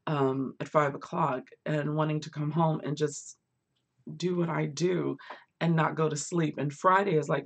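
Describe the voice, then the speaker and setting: A woman hosting a live book chat from home on her phone, chatty and quick to laugh, with a voice that is going hoarse. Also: low-pitched. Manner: chatty, laughs often, rambling asides. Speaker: book vlogger hosting a livestream. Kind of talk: casual live chat with viewers.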